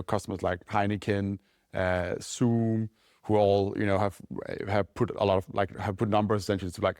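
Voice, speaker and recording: A man's voice: lowish voice, creaky, a little mellow, no room sound, studio quality. A man with a Danish accent, a company founder answering questions in a casual podcast interview, talking loosely with lots of "like" and "right?". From Denmark. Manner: quick, loose talk full of "like" and "right?".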